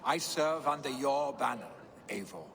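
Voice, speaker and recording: An actor playing a proud, loyal young Viking warrior, a jarl's son, in a video game. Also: male voice, mid-range voice, a little slow, gravelly, a bit bright, long reverb tail. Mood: solemn, proud. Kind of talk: dramatic video game acting.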